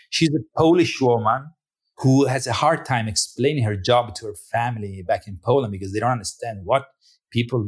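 A man originally from Italy, a film director, talking thoughtfully in an interview with frequent restarts. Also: medium pitch. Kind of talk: interview conversation.

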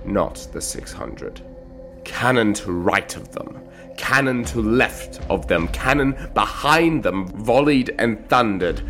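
A man's voice: fairly low pitch, a bit bright. A man giving a solemn, declamatory dramatic recitation of poetry. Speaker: poetry reader. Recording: music clearly under the voice. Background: music.

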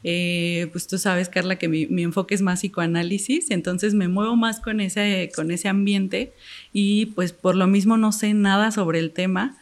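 A woman's voice: medium pitch, steady tone, a little slow. Chatty woman podcast co-host. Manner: chatty, friendly, hedging fillers. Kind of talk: casual interview chat.

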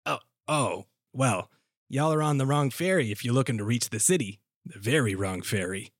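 A man's voice: medium pitch, normal speed, no echo, studio quality, close to an everyday voice. Folksy, hesitant ferry captain, a man acting. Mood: apologetic. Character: folksy, polite, mysterious hooded ferry captain. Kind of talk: audio story dialogue.

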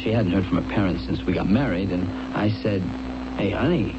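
A man's voice: low voice, a little fast. A man playing an anxious, bewildered young husband in a 1970s radio drama, speaking earnestly and hesitantly with repeated words. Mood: puzzled, earnest. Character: anxious, bewildered young husband recounting his wife's collapse. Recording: dull, noisy 1979 radio recording with hum.

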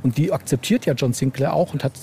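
A man's voice: a little high, fast talker, gravelly, mellow, slight background noise. A man talking casually as an interviewed author, searching for words and often adding tag questions. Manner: hesitant, searching delivery with frequent tag questions.

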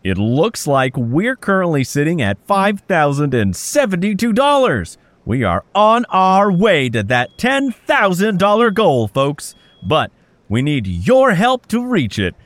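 A man's voice: a little high, huge pitch swings, a little slow, fairly bright tone, no room sound, full character voice. A man playing an ingratiating telethon host with showy, theatrical broadcast patter. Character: smooth-talking male telethon host soliciting donations.

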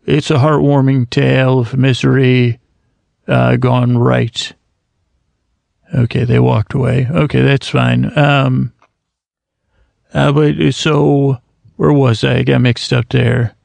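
Gravelly man rambling into a close mic. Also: medium pitch, little pitch movement, a little mellow, dry recording. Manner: meandering, self-deprecating, trailing off and restarting; slips into quick imagined dialogues. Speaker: podcast host. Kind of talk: rambling comic monologue.

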